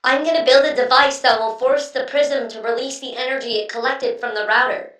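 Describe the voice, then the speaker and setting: A woman's voice: high-pitched, steady tone, fairly quick, fairly bright tone. A woman voicing a friendly, earnest young female inventor in a video game, talking in a casual, chatty, slightly hesitant way. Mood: earnest, hopeful. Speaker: video game quest character. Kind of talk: scripted game voice lines, conversational. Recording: roomy, echoing, distant-sounding voice.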